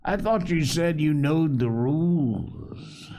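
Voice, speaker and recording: A sly, commanding old pirate captain, as a man puts him on, pitched high above a plain speaking voice and rolling out rough sailor dialect. His tone stays scornful and lively, swinging up and down as he talks. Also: slow. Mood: defiant. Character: older male pirate captain, cunning, commanding. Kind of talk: audiobook character reading.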